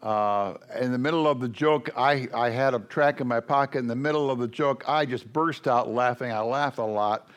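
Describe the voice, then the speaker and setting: An older man preaching to a congregation in a loud, folksy, joking way, rambling with many fillers. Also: medium pitch, moderate pace, hardly any pauses.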